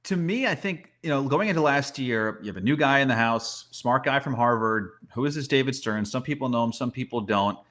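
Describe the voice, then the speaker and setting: A man's voice: medium pitch, expressive, a little fast, hardly any pauses, a bit bright. An emphatic man with a mid-range voice talks sports as a commentator. He is opinionated and conversational, building his points with rhetorical questions.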